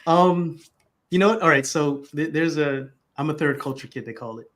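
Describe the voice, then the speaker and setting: A man's voice: a little high, moderate pace, a bit bright, a little hiss or hum. A man who grew up in America, a podcast guest speaking reflectively and conversationally, restarting his sentences often.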